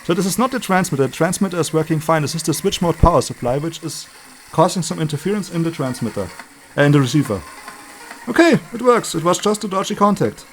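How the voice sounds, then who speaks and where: A man with a moderately high-pitched voice presents a hands-on electronics demonstration. He speaks without a script, as a hobbyist would at the workbench. His remarks come in short, halting pieces as he thinks aloud. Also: expressive, slight background noise.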